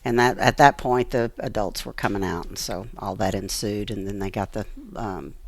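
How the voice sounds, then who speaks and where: A woman's voice: rumbling low, fairly even intonation, normal speed. An American woman telling personal stories as an interview guest, earnest and emphatic, repeating phrases for emphasis. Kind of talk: personal storytelling in conversation.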